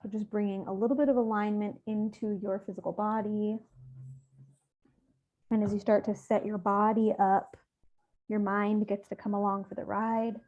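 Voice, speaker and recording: A woman with a mid-pitched voice guides a meditation through narrow, phone-like audio. She speaks gently and evenly, leaving pauses between soft instructions. Her delivery is soothing and unhurried, with little rise and fall. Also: level tone.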